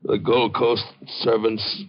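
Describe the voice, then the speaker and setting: Wounded criminal father, male, acted, lowish voice, scornful and snappish, labored delivery, muffled 1950s radio drama sound. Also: level tone, unhurried, close to an everyday voice. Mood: angry, scornful. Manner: coarse slangy tough talk, mocking and snapping. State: coughing, labored from a gunshot wound. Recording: dull, band-limited 1950s radio recording.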